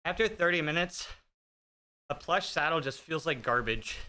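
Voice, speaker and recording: A man's voice: a little high, lively intonation, moderate pace, bright, forceful tone. A man in his thirties chatting casually with his stream viewers while riding an indoor bike, joking and teasing himself. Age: 30s. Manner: joking, self-deprecating, chatty. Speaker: fitness streamer. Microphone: streaming desk mic with noise gate.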